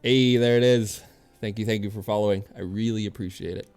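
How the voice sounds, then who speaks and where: A low-pitched man talks in an easygoing, chatty way as a game streamer. He speaks straight to his viewers between bits of casual commentary, with faint game music behind him. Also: fairly even intonation, a little fast, darkish tone, clean tone. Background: video game music.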